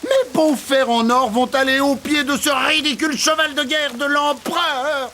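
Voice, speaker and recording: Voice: high-pitched, moderate pace, pushed and bright, a little hiss or hum. An actor playing a vain, indignant, boastful scarab beetle in a children's cartoon, with a pompous, whiny, theatrical delivery. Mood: haughty, aggrieved. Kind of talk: French-dubbed children's cartoon acting.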